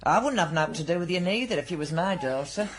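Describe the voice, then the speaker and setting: A woman's voice: lowish voice, lively intonation, quick pace, slight background noise, natural voice. A woman acting in a radio drama as a coarse, scornful poorhouse inmate, scolding and mocking in Northern English dialect.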